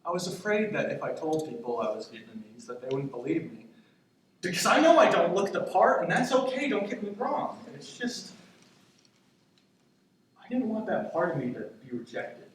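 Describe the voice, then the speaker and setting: A man's voice: sits high, big pitch swings, moderate pace. A man acting a tender grandson in his twenties in a stage monologue, confiding in his departed grandmother in a reflective, hesitant, conversational way. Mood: vulnerable, hesitant. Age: 20s. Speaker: stage actor in a student play. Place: indoor stage, recorded from afar. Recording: echoey, distant stage recording with noise.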